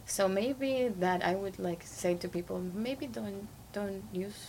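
A woman's voice: mid-range voice, takes it slowly, darkish tone, a little hiss or hum. A young woman from Guatemala whose first language is Spanish, answering interview questions casually, with lots of fillers, restarts and laughs. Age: young adult. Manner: hesitant, many fillers and restarts, frequent laughs. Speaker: interview guest, international student.

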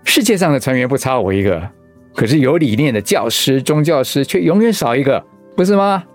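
An actor with a Taiwanese accent playing a gentle, ailing pastor and husband in a radio drama, earnest and reflective. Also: male voice, mid-range voice, lively intonation, a little fast, soft background music, close to an everyday voice. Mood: earnest, youthful. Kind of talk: Mandarin radio drama acting.

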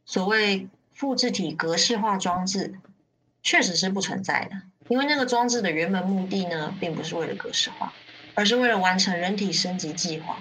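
A woman acting a composed faction leader and narrator in a radio drama, measured and detached in delivery. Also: medium pitch, a bit bright, slight background noise, close to an everyday voice, poor audio. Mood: calm, explanatory.

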